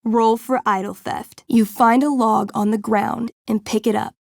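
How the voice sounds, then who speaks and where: A woman's voice: a little high, steady tone, vocal fry, no echo, studio quality. A woman voicing a young game master in a video game, narrating a fantasy tabletop adventure with playful drama and suspense. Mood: suspenseful, dramatic. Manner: theatrical, suspenseful, deliberate storytelling.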